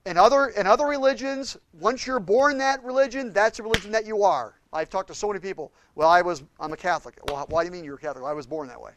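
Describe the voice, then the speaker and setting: A man preaching a sermon with impassioned swings between loud and hushed delivery. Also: high voice, fast talker, hardly any pauses, low-quality recording. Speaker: pastor.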